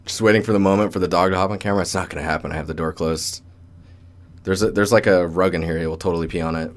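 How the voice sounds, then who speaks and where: A man's voice: low-pitched, fairly even intonation, racing. A young man hosting a casual livestream, rambling and joking in a colloquial way as he gives commentary. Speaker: livestream host, digital artist. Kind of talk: casual livestream chat and portfolio critique. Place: indoor room with door closed.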